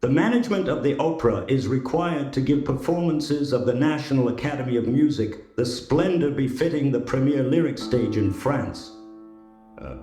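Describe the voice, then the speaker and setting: A man's voice: mid-range voice, dark tone, roomy sound, natural voice. A man playing a grave, formal opera house manager in an audio drama, speaking solemnly and with an ominous air. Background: plucked guitar music.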